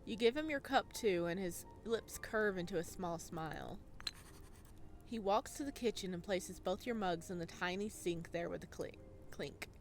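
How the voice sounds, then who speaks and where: A playful, expressive woman streamer reading a visual novel aloud and adding her own reactions. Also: lowish voice, normal speed, faint music behind. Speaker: video game streamer.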